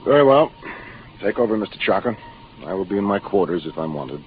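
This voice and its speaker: A man's voice: on the low side, very animated, fairly quick. An American man playing a seasoned, matter-of-fact spaceship captain in 1950s radio drama style, commanding as he explains things. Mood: brisk, commanding. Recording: muffled, noisy 1950s radio broadcast.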